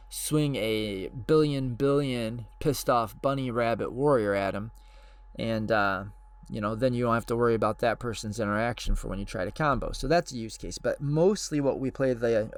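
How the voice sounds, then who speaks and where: A man hosting his own channel, hoarse and losing his voice, talking casually and unscripted with frequent fillers and restarts. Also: medium pitch. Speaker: channel host.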